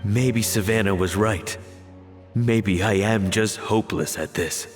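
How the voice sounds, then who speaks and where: A man voicing a self-doubting, downcast father in a video game, speaking haltingly. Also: fairly low pitch, lightly acted. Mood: dejected. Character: dejected, self-doubting father, a failed cook's assistant. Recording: reverberant, background music.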